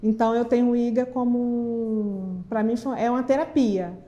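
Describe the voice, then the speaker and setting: A woman's voice: fairly high pitch, steady tone, moderate pace, mellow, dull audio. A woman, a cooking student giving a personal testimonial.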